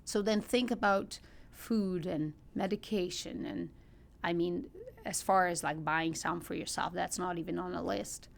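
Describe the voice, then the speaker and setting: Ukrainian-accented woman, slightly low voice. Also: fairly even intonation, measured pace, vocal fry. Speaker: podcast guest. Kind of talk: conversational personal storytelling.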